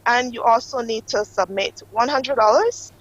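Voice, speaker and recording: A woman, a guest on a morning TV show, explaining an application process in a steady, informative way and sometimes correcting herself. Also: on the high side, moderate pace, dull audio. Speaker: guest from an accreditation office. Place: TV studio.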